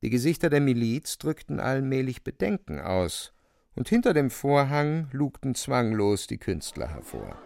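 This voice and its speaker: A man's voice: mid-range voice, very animated, moderate pace, vocal fry, darkish tone, dry recording. A man narrating a literary radio drama in a dramatic storytelling style.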